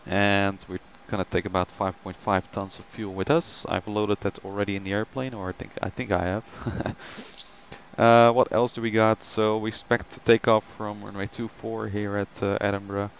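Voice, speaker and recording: A man's voice: fairly low pitch, flat delivery, moderate pace, muffled recording, slight background noise. A man hosting a flight simulator live stream, chatting casually with many ums and greeting viewers by name.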